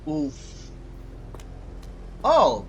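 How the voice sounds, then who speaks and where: A man's voice: a little high, huge pitch swings, extremely slow, fairly bright tone, lo-fi sound, a little hiss or hum. A man giving casual, muttered reactions while playing a game. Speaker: gaming streamer reacting to a game.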